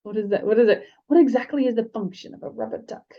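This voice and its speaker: A woman's voice: on the high side, fast talker, highs cut off. An American woman co-hosting a fan podcast, reading stories aloud and chatting casually about books. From United States. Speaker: podcast co-host and audiobook reader. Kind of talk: story reading and casual book chat.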